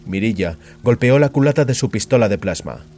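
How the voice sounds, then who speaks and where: A man narrating a dramatized story as scripted fiction, in a grave, deliberate storytelling manner. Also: fairly low pitch, animated, darkish tone. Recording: music behind the voice.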